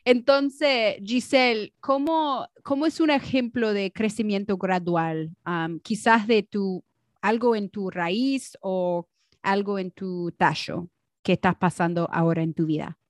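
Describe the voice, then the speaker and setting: A woman co-hosting a podcast in a relaxed, unhurried way, now and then slipping into English. Her voice sounds close and dry, with no room around it. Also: medium pitch, no echo. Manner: relaxed, mixes in English words, laughs often. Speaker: podcast co-host. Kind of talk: casual chat.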